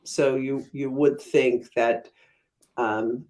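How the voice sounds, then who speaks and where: A woman's voice: very deep voice, fairly even intonation, drawn-out words, darkish tone, poor audio. Woman, expert interview guest. Conversational explainer, thoughtful stop-and-start delivery, emphatic on key terms. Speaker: guest academic, economist and author.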